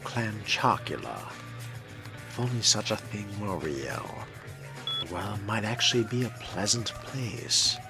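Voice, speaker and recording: A man doing in-character game commentary with a dry, wordy, sardonic manner, often dropping into a breathy murmur. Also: on the low side, animated, slow pace. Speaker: role-playing let's player. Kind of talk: in-character game commentary, musing aloud and reading text. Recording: game music behind. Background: video game music.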